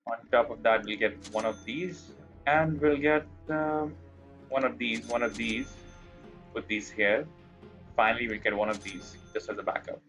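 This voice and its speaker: A man's voice: mid-range voice, steady tone, normal speed, a bit bright, faint music behind. A man from Pakistan chatting casually and candidly as he live-streams a game, informal and reflective. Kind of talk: casual live-stream chat during gaming.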